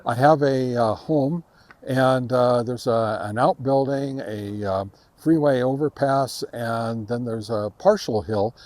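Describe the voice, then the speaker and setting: A man giving a casual, halting field-test commentary as host of a gear review, speaking outdoors. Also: medium pitch, darkish tone, no room sound. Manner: halting, hesitant delivery. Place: outdoors in a park.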